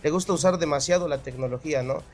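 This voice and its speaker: A man's voice: on the high side, fast talker. A middle-aged man, a band leader giving long, earnest explanations in a radio interview. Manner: earnest, explains at length, occasional laughs.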